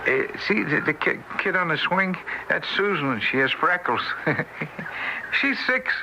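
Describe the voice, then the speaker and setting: A man's voice: fairly high pitch, animated, measured pace, dull audio, background noise. An actor playing a timid, fretful ghost of an old father, hesitant and stammering, in an old-time radio drama. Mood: fond, wistful.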